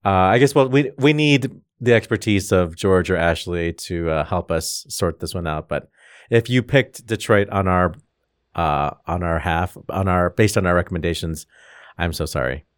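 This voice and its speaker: A man co-hosts a podcast in loose, joking banter, rambling with plenty of fillers. His voice is very low, recorded dry and close. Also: moderate pace, smooth, dry recording. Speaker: podcast co-host. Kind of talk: casual banter.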